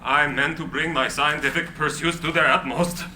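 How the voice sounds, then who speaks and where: An actor playing a shy, high-minded inventor in a script reading, speaking formally with halting stammers. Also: male voice, fairly even intonation, close to an everyday voice. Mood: earnest. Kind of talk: live screenplay reading. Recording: live room recording with faint noise.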